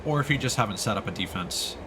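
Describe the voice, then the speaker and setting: A man's voice: fairly low pitch, animated, quick pace, noisy background. A man doing casual live let's play commentary, exclaiming to himself and thinking aloud with casual swearing. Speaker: game streamer. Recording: game music behind the voice. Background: video game music.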